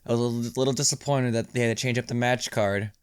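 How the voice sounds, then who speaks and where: A man's voice: mid-range voice, steady tone, fast talker, clear, resonant tone, dry recording, clean studio sound. A man co-hosting a podcast in casual conversation, talking in an informal, slangy way. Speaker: podcast co-host. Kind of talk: casual chat.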